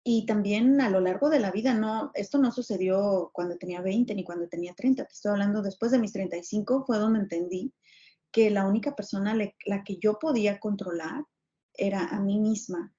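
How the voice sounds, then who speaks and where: A woman's voice: mid-range voice, fairly quick, dark tone. A Mexican woman in her forties, a podcast guest telling her story earnestly and with emphasis. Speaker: guest, journalist and businesswoman. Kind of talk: podcast interview conversation. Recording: dull lo-fi sound.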